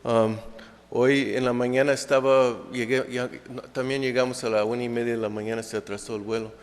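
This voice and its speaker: A man's voice: medium pitch, flat delivery, fairly quick. Hesitant man presenting in an echoing hall. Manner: hesitant, many fillers and restarts; speaking a second language. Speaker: conference speaker. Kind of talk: academic presentation. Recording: reverberant lecture hall.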